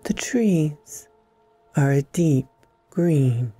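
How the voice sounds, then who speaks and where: A woman hosting a guided meditation, speaking in soothing, deliberate phrases with long pauses and a breathy softness. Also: deep voice, expressive, no room sound, faint music behind. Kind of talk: slow guided meditation narration.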